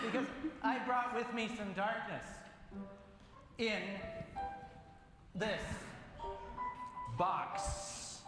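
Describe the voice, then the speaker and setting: High-voiced man in a very echoey hall. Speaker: church event leader doing a magic trick. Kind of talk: playful live magic-show patter to children. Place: large indoor church hall with an audience. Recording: echoey reverberant hall, music behind.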